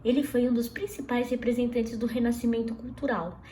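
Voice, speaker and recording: A woman's voice: fairly high pitch, fairly quick, a little hiss or hum. Chatty woman teaching a kids' art class. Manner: chatty, lively, asks pupils questions, restarts words. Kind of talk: recorded class lesson for children.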